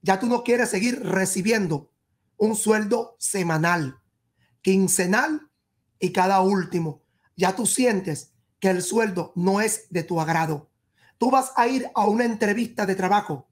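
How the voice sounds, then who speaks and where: A woman's voice: medium pitch, a little slow. A woman giving a tarot reading straight to the listener, emphatic, repeating and rephrasing in short phrases. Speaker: tarot reader and horoscope host. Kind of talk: spoken tarot reading addressed to the viewer.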